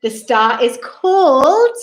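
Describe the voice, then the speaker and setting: A woman's voice: sits high, big pitch swings, slow pace. A woman hosting a children's storytime, reading rhyming picture-book text aloud in a theatrical, exclaiming way and speaking directly to young listeners. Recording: roomy, echoing indoor sound.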